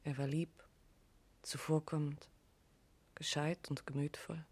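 Middle-aged woman in mourning, radio crime drama: halting, bitter, blaming herself. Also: low-pitched, level tone, darkish tone, slightly rough. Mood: bitter sorrow. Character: grieving middle-aged woman questioned about her dead lover.

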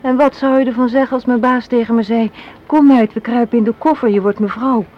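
A woman's voice: a little high, fairly even intonation, a little fast, close to an everyday voice. An actress in a radio play playing an assertive, cynical young woman, arguing in an articulate, sardonic way. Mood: indignant, sarcastic. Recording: muffled, hissy old radio play recording.

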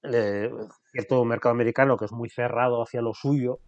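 Mid-pitched man, easygoing podcast co-host with fillers. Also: fairly even intonation, brisk, darkish tone, dull audio. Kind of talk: casual podcast conversation.

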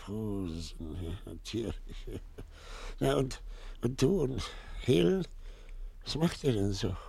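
An actor playing a confused, weakened old man in a radio play, with a husky, breathy, gravelly voice and halting, repetitive speech. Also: fairly low pitch, animated, mellow, exaggerated voice. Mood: feebly hopeful. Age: older. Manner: halting, repeating himself, muddled. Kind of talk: 1950s German radio play acting.

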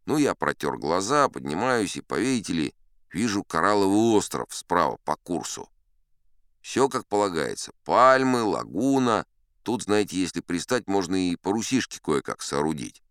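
An actor playing an old sea captain who spins tall tales in a dramatised audiobook, boastful and unflappable, with theatrical asides and dramatic pauses. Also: male voice, deep voice, big pitch swings, fairly bright tone, clean tone, dry recording, clean studio sound, full character voice. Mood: buoyant, boastful.

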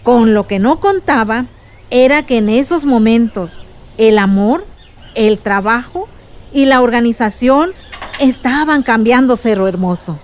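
Tía narradora actuada por una mujer, voz algo aguda. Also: female voice, on the high side, measured pace, a little mellow, natural voice. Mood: grave narrating. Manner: storytelling, explanatory. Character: aunt recounting past dangers to her niece, warm, resolute. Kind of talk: radio drama acting.

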